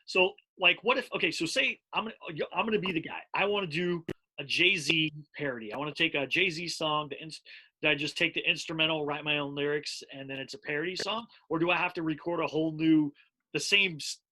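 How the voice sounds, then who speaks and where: A man hosting a podcast, asking eager, rapid-fire questions. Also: fairly high pitch, bright, forceful tone, poor audio. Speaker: podcast host. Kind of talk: casual interview chat.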